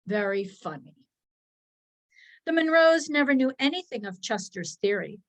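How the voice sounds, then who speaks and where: A woman reading a children's story aloud as its narrator, expressively and with measured, dramatic pauses. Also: high voice, lively intonation. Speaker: storybook reader, narrating as a dog.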